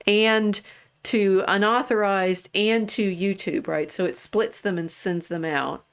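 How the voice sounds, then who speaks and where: A woman's voice: mid-range voice, takes it slowly, fairly bright tone, smooth. A woman in her sixties chats loosely as a podcast host, circling back and restarting her sentences and laughing often. Her voice sits mid-range for a woman. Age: 60s. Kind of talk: casual chat.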